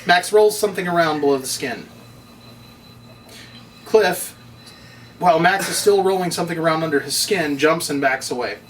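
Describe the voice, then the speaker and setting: A man's voice: fairly high pitch, normal speed, long pauses, fairly bright tone. A man reading stage directions as scripted narration at a table read. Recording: distant mic, noisy room ambience.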